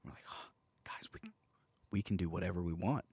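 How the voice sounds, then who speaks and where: A man's voice: deep voice, animated, a little fast, creaky, a little mellow, husky, no echo. A man co-hosting a podcast, telling anecdotes in a wry, informal, joking manner. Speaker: podcast co-host. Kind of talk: casual chat, telling anecdotes.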